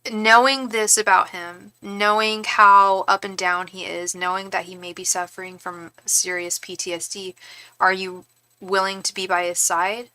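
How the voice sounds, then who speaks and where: Young woman, tarot reader, bright tense voice, rambling casual live-stream chatter, talks to viewers. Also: medium pitch, little pitch movement, normal speed, clear, resonant tone, rough recording.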